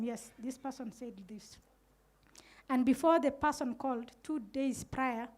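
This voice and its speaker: Earnest high-voiced Kenyan Somali woman. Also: darkish tone. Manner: earnest, recounts events with quoted dialogue. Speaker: community radio manager on a panel. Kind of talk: panel talk, storytelling. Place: indoor room with curtains. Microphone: handheld microphone.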